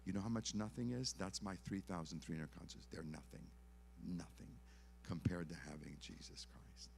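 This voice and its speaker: A man's voice: low voice, moderate pace, vocal fry, a little mellow, slight background noise. An older man, a concert pianist, giving an earnest, pleading testimony at a church concert and repeating his phrases. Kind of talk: evangelistic testimony from a stage.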